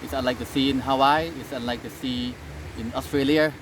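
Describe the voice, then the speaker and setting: A man from Thailand with a Thai accent, speaking English as a second language in a casual interview, halting with many fillers and restarts. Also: medium pitch, steady tone, background noise.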